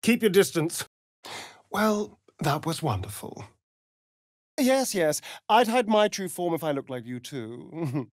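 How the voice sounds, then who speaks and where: A man's voice: a little high, lively intonation, slow, dry recording, studio quality. A man with an English accent playing a vain, sardonic aristocratic vampire rogue in a video game, theatrical and arch.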